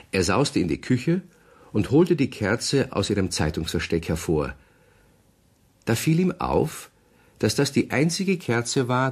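A man playing the storyteller of a children's radio play, narrating in a lively, animated way with a husky voice. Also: fairly low pitch, heavily acted.

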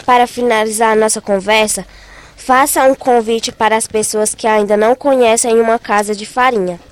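Young female reporter, student, Cearense accent, higher voice, scripted interview delivery, dry sound. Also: steady tone, normal speed, clean tone, dry recording. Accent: Northeastern Brazilian, Ceará. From Ceará, Brazil. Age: child or young student. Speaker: radio reporter.

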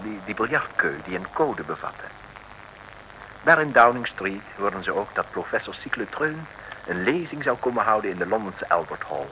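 A man's voice: fairly low pitch, bright, forceful tone. A man narrating an old radio serial in a chatty way, speaking straight to the listeners as he recaps. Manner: chatty storytelling, addressing the listeners directly. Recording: noisy old radio recording.

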